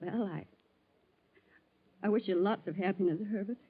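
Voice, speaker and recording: An actress playing a devoted, anxious sweetheart in a 1940s radio drama, hesitant and emotional in delivery. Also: female voice, mid-range voice, moderate pace, breathy edge, narrow, tinny audio, lightly acted. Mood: worried, subdued. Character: devoted, anxious sweetheart, easily amazed woman. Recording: scratchy, phone-thin 1940s radio recording.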